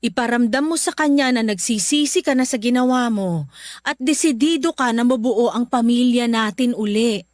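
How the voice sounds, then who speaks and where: A woman's voice: a little high, moderate pace, a little mellow, no echo, lightly acted. A Filipino woman playing a middle-aged mother in a radio drama, earnest and maternal, firm but caring. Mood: gentle, reassuring.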